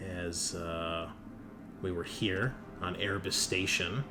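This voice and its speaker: A man working as game master, recapping a tabletop roleplaying session in a halting, informal way. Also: low-pitched, fairly even intonation, bright, forceful tone.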